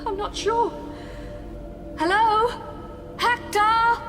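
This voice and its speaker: A woman's voice: very high voice, steady tone, extremely slow, music in the background, full character voice. An actress playing a clever, earnest woman space traveller in a radio drama, composed and coaxing in manner. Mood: sincere, awkward.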